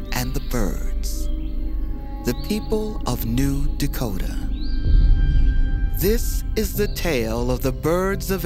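A man narrating a mythic audio drama prologue in a solemn, grave way. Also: medium pitch. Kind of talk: scripted narration.

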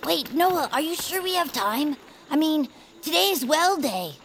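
A woman's voice: sits high, animated, a little slow, fairly bright tone, slight background noise, heavily acted. A woman playing a quirky, earnest small creature, an inventor sidekick in a family audio comedy, intense and devoted. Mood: earnest, chatty.

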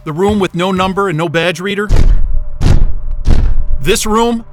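A man's voice: sits high, unhurried, over music. A man playing a frantic, hot-tempered, impatient stranded man in a naturalistic audio drama. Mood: puzzled, wary. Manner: excitable, exasperated, muttering and cursing.

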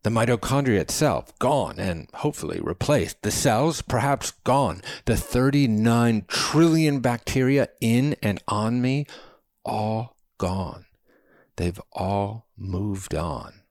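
A man's voice: on the low side, takes it slowly, a little mellow, no echo, clean studio sound, voice pushed up. A man voicing a feisty, philosophical old man in an audiobook, lecturing with precise, scholarly diction. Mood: impassioned lecturing. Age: older. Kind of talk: audiobook character dialogue.

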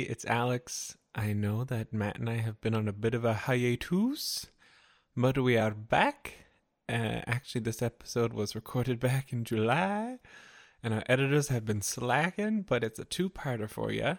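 A young man co-hosting a podcast, a little raspy, chatting casually with camp, giggly banter. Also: mid-range voice, lively intonation, normal speed. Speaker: podcast co-host. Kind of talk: casual chat.

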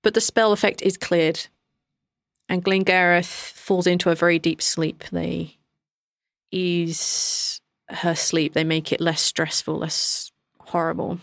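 A woman runs a tabletop game with informal, conversational narration. Her voice sits fairly low for a woman and is recorded very dry. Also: little pitch movement, takes it slowly, dry recording. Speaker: game master.

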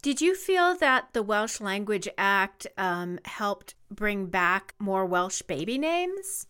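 A woman hosts a podcast and puts curious, conversational questions to her guest. She speaks at an unhurried pace, and her voice is smooth and clean. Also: mid-range voice, very animated, a bit bright. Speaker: podcast host. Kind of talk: interview questions.

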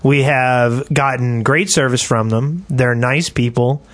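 A man chats casually as a podcast co-host. His voice sits at an ordinary middle pitch and is recorded dry, with hardly any room around it. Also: takes it slowly, fairly bright tone, no echo. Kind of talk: casual gaming podcast chat.

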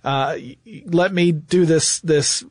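A man with a fairly high voice, recorded close and dry. He talks like a podcast co-host sharing history, explaining things conversationally with a wry edge. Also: slow, no room sound.

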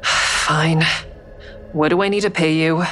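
A woman's voice: low-pitched, steady tone, slow pace, a bit bright, soft background music. A woman acting an anxious, secretive character who is in over her head, narrating and speaking in the first person in an audio drama. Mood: resigned, uneasy.

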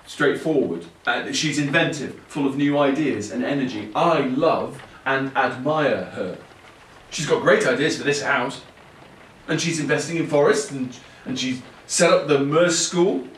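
An actor playing an earnest, halting brother who confesses and pleads with his sisters in an audio play. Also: medium pitch, recorded from a distance, close to an everyday voice. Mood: insistent, defensive. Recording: roomy, off-mic, faint background noise.

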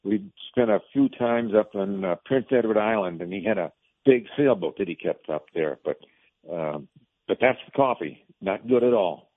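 A man's voice: on the low side, normal speed, no room sound. A man calling in to a radio show by phone, chatting casually in a folksy, easygoing way. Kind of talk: casual chat. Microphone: telephone. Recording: dry, tinny phone line.

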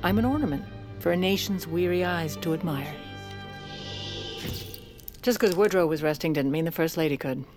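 Actress as a wry, low-voiced Lady. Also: moderate pace, long pauses, over music, lightly acted. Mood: wry, confiding. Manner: dry, wry, controlled. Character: wry, scheming, self-assured American First Lady. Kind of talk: audio drama narration and dialogue.

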